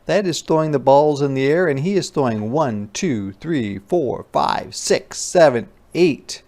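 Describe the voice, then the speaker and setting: A teacher reading aloud to young children in an interactive phonics lesson, deliberately repeating sounds and words and adding simple explanations. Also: mid-range voice, animated, darkish tone. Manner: child-directed explanatory asides.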